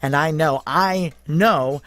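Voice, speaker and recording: A woman's voice: deep voice, takes it slowly, a bit bright, a little hiss or hum. An actress playing a tough, profane female security chief, narrating and acting in a noir audio drama in a wry, blunt, conversational way. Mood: anxious, racing thoughts.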